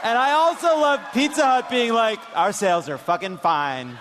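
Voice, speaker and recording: A man's voice: high-pitched, normal speed, fairly bright tone, a faint crowd. A man hosting a live political comedy panel with sarcastic, profane, emphatic banter. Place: stage in a live venue. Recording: echoey hall, noisy live stage sound.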